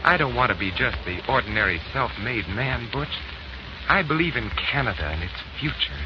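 An actor playing an earnest, ambitious young Canadian bachelor in love, in boyish, exclamatory 1940s radio style. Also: male voice, medium pitch, expressive, moderate pace, lightly acted. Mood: earnest, idealistic. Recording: noisy, muffled 1940s radio recording.

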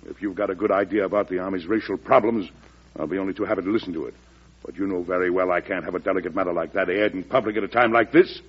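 An actor playing an exasperated, authoritative American president in a classic radio drama, with firm, pointed delivery and a husky voice. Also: male voice, deep voice, steady tone, fast talker. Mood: angry, commanding. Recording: muffled old radio recording.

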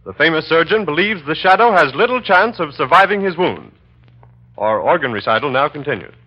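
A male announcer from old-time radio, formal and emphatic, with a highish, very animated voice. The recording sounds muffled. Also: brisk. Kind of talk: 1930s radio announcing, scripted.

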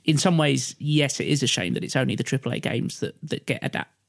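A man's voice: medium pitch, fairly quick, vocal fry, no room sound, clean studio sound. A man in a casual podcast discussion, talking in a rambling way with lots of hesitant asides. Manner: hesitant, rambling, frequent you-know and like. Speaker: podcast panelist.

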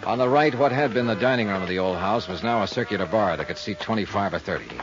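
An actor playing a world-weary, hard-boiled investigator, terse and wry, in 1950s radio noir. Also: male voice, lowish voice, fast talker, natural voice. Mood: brooding, wry. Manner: terse, dry, wry tough-guy delivery. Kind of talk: 1950s radio noir acting and first-person narration. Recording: muffled 1950s radio recording with music.

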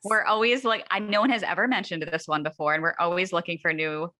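A woman's voice: on the low side, fairly even intonation, fast, pushed and bright. A woman hosting a casual interview, putting questions and responding conversationally. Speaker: interview host. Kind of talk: casual rapid-fire interview.

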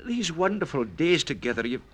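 Lovestruck young gent, highish voice, jumps around in pitch, noisy old radio drama recording. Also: male voice, brisk. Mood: earnest, smitten. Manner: excitable, earnest. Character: eager, bashful, lovestruck young gentleman suitor.

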